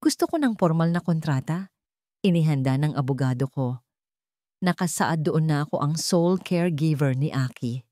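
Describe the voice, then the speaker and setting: A woman voicing a cold, steadfast young former housemaid in a Tagalog story, speaking in a firm, measured way. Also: low voice, lively intonation, mellow, dry recording, clean studio sound. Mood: firm, demanding.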